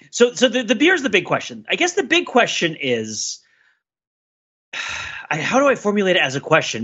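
A man with a high-pitched, very lively voice talks casually on a podcast. He rambles and restarts as he works out his thoughts, slipping into imagined quotes. The audio is slightly dull. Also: breathy edge. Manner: rambling, self-interrupting, thinking aloud.

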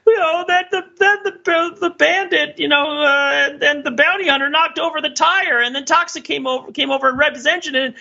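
A man acting out a rattled therapy patient pours out troubled memories in a high, tearful voice, far above normal talk. The recording is a little dull and lo-fi. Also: steady tone, a little fast, gravelly, few pauses, bright, forceful tone, heavily acted. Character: the speaker's own tearful, traumatized future self in therapy.

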